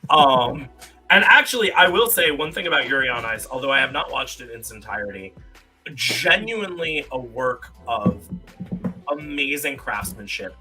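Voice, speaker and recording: A man's voice: mid-range voice, animated, normal speed, bright, forceful tone, low-quality recording. An enthusiastic, emphatic man chatting casually and hosting a quiz on a live stream. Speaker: host of a live trivia game. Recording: faint music behind the voice. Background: faint music.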